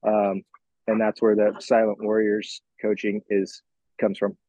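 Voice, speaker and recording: An American man in his forties telling his own story in an informal interview, reflective and candid, halting as he picks his words. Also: on the low side, monotone, warm tone, no room sound, low-quality recording. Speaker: fitness coach, podcast guest.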